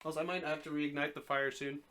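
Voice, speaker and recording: A streamer reading a story aloud as a dramatic narration, expressive, now and then stumbling over a word. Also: medium pitch, fast talker.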